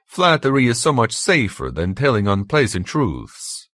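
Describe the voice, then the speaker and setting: A man's voice: mid-range voice, wildly swooping pitch, measured pace, a bit bright, dry recording, clean studio sound, voice pushed up. A man voicing a stern, earnest man who lectures his companions in a formal, emphatic way for a story. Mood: earnest lecturing.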